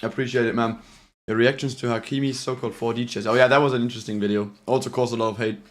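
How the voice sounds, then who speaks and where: A man's voice: mid-range voice, level tone, fast. A man with a German accent hosting a live football reaction stream, chatting casually and reading out viewer messages with many restarts. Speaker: livestream host reacting to a match.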